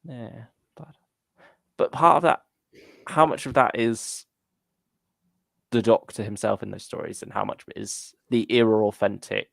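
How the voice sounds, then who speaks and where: A man with a very creaky, gravelly voice on the low side, co-hosting a relaxed fan chat show. He speaks casually and a little tentatively, as if working out his opinion. The sound is dry and close. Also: normal speed, long pauses, no room sound. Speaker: co-host of a fan discussion show. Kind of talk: casual chat, reading out viewer comments.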